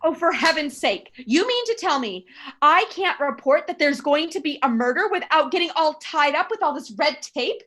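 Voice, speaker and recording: An actress playing an anxious, demanding invalid wife in a radio play, fretful, indignant and complaining. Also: female voice, high-pitched, expressive, normal speed, a bit bright. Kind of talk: stage radio play acting.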